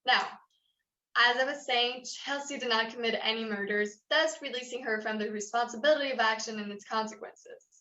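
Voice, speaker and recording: Casting: actress as firm procedural chairwoman for staged reading, forceful and measured, dull roomy recording. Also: fairly high pitch, a little hiss or hum. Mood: formal, firm. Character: official woman chairing a forest meeting, formal and firm.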